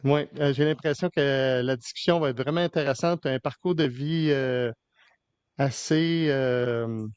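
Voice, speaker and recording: A man's voice: medium pitch, a little slow, darkish tone, smooth, no echo. A man hosting a podcast interview in casual Québécois French, hesitant, with many fillers and restarts. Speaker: podcast host.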